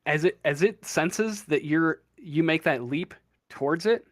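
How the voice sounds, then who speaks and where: A man runs a tabletop game, his voice sitting a touch high. He describes the action in a loose, halting way, padded with fillers. The sound is close and dry. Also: fairly bright tone, dry recording. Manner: loose, halting narration full of "uh" and "like". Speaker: game master. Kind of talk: narrating a tabletop game over voice chat.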